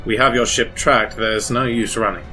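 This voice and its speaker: A man's voice: mid-range voice, fairly even intonation, moderate pace, natural voice. A man playing an anxious, dutiful Imperial lieutenant, formal and military, in a sci-fi audio drama. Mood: formal command. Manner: formal, military, slightly nervous. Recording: music behind the voice.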